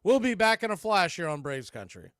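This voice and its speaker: A man's voice: high voice, big pitch swings, brisk, a bit bright, clear, resonant tone. A man doing live baseball play-by-play as announcer and host, conversational and opinionated.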